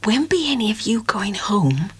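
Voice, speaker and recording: Man voicing a breathy, timid country girl. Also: sits high, very animated, normal speed, voice pushed up, full character voice. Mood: anxious. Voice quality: breathy, hushed. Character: timid, anxious young country woman, humble.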